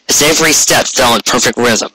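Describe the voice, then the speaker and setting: A man's voice: mid-range voice, a little fast, pushed and bright, husky, dry recording, low-quality recording. A man reading scripted story narration on an amateur recording with noise and clicks. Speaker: audiobook reader. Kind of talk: scripted fan fiction narration.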